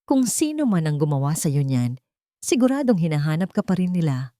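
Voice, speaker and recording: A woman's voice: sits low, sing-song, a little fast, warm tone, dry recording, studio quality. A woman with a Filipino accent voicing a cautious, reclusive fisherman in a dramatic audio story. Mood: wary warning. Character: guarded, cautious reclusive fisherman, adult man.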